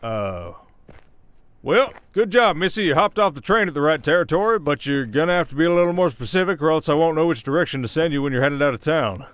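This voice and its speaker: Man playing a gruff Old West werewolf sheriff, drawling. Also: on the high side, expressive, a little fast, a bit bright, dry recording. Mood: suspicious, exasperated. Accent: American Western drawl. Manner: folksy, authoritative, scolding. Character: gruff, world-weary male werewolf sheriff of a frontier town. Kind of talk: ASMR roleplay acting, Old West.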